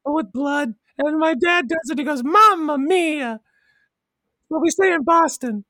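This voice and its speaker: An odd little Boston boy, played by a woman, speaks in a piercingly high, bright voice. He makes childish, simple statements with a straight-faced silliness, in an improvised comedy sketch with a dry, close sound. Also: unhurried, no room sound. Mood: earnest. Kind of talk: improvised comedy character interview.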